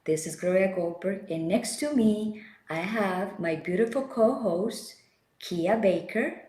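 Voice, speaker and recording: A woman's voice: mid-range voice, measured pace, a little mellow, roomy sound. A woman in her forties hosting a casual talk show, enthusiastic and laughing often. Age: 40s. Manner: enthusiastic, laughs often, non-native phrasing. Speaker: podcast host. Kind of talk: casual chat.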